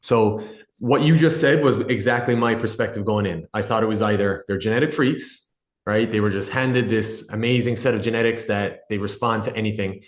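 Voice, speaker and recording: A man's voice: lowish voice, fairly even intonation, normal speed. A man in his thirties from Ireland, with an Irish accent mixed with American, talking casually as a podcast guest about his work in sports nutrition. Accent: Irish with some American. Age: 30s. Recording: echoey room.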